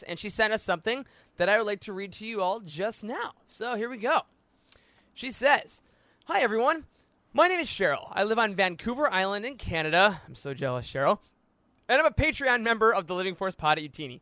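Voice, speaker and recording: An enthusiastic male podcast host with a high, bright voice. He speaks casually and playfully, and the sound is close and dry. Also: no echo. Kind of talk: casual podcast hosting and reading out a listener's letter.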